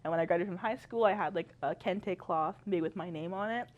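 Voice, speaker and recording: A woman's voice: on the low side, fairly quick, darkish tone, muffled recording. A young woman from Canada, a university student, talking as a podcast guest in chatty style and laughing often. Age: young adult. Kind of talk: casual chat.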